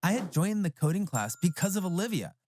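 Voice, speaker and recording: A narrator reading a scripted first-person story for an animated tale. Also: medium pitch, fairly quick, a little hiss or hum. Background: background music.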